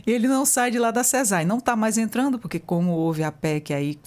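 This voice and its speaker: A woman's voice: medium pitch, a little fast. Chatty, enthusiastic woman hosting an interview. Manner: chatty and enthusiastic, with warm exclamations. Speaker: interview host. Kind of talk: conversational interview.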